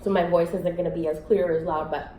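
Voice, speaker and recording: A young woman vlogging casually to camera at home, a little sick, rambling with many fillers in a raspy voice. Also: flat delivery, fast talker, dark tone. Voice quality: raspy, low voice. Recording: echoey room, faint noise.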